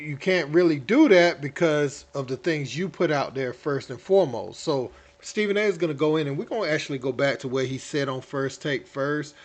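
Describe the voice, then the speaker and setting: A man hosting casual sports commentary, talking to viewers in a colloquial, slangy way. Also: a little high.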